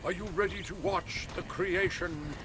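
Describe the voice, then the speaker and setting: A man's voice: sits high, fairly even intonation, measured pace, heavy background noise. An actor playing an eccentric male film director in a video game, speaking in a theatrical, fussy and gushing way. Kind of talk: video game cutscene acting.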